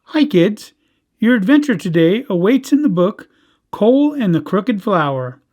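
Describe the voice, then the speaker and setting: A woman's voice: mid-range voice, very animated, a little slow, a little mellow. A woman announcer giving a scripted introduction to a children's audiobook.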